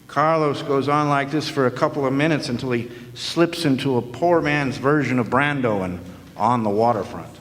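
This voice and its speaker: A man's voice: mid-range voice, normal speed, a bit bright. Brief: a sardonic man with a mid-range voice, narrating noir in an echoing hall. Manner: wry, sardonic. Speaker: narrator, hard-boiled detective. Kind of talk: 1940s noir detective narration in a live radio play. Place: historic club hall, live audience performance. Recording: long echoing hall reverb.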